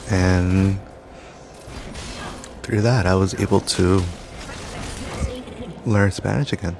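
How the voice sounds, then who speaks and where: Man, young adult, rambling gameplay talk, meandering, low voice, noisy recording. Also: fairly even intonation, takes it slowly, creaky. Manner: loose, meandering, filler-heavy storytelling. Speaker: gaming commentator. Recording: background noise.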